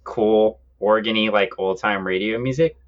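A man's voice: fairly low pitch, normal speed, dull audio. A man co-hosting a podcast, explaining comics history in a casual, conversational way. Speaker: podcast co-host.

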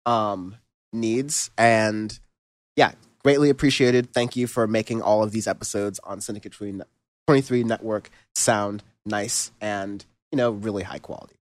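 A man hosting a music podcast in casual chat, relaxed and rambling with lots of fillers and restarts. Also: on the low side, normal speed, dry recording. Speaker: podcast host.

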